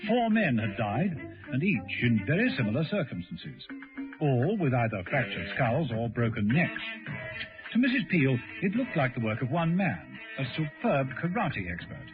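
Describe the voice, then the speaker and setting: A man's voice: mid-range voice, sing-song, normal speed, over music. A man giving dramatic scripted narration for a radio serial. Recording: dull old radio recording.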